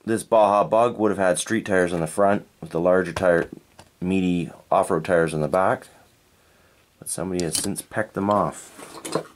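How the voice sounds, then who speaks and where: A man from Canada reviewing toy cars in a relaxed, unhurried way, thinking aloud and correcting himself as he goes. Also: low-pitched. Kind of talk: unscripted hands-on toy review. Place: indoors at a tabletop diorama.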